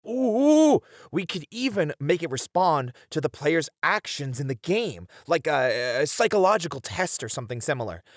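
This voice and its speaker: A man playing a hesitant but curious, excitable game developer, speaking casually and expressively in a scripted ASMR horror roleplay. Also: on the high side, normal speed, hardly any pauses, dry recording, clean studio sound. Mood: excited, hesitant. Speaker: voice actor in an audio roleplay.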